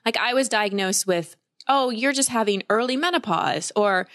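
A woman's voice: on the high side, moderate pace, a bit bright, no room sound, clean studio sound. A woman in her forties hosting a podcast, reading an ad and interviewing a guest in an upbeat, earnest way. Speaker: podcast host. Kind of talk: read ad and interview.

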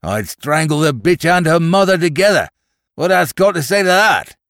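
A big, surly Yorkshire farmer in his middle years. He growls harshly and curtly in a raised pitch that swings with menace, close and dry as in an audiobook. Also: expressive, normal speed, fairly bright tone, no echo. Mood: ferocious. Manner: gruff, curt, threatening.

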